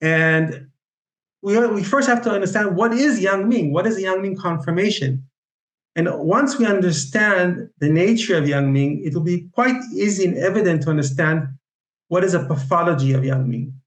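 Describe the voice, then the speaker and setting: A man with an Israeli accent giving an online lecture on Chinese medicine, speaking haltingly with many fillers and restarts. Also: high voice, roomy sound. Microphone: video call.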